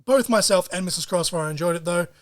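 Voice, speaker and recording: A man hosting a solo podcast, talking in an excitable, profane way full of wrestling-fan slang. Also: sits high, fast. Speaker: podcast host.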